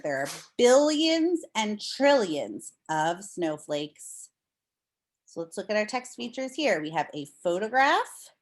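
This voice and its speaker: An American woman reading a non-fiction book aloud to young children as a teacher, explaining it in a deliberate way and addressing her listeners directly. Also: medium pitch.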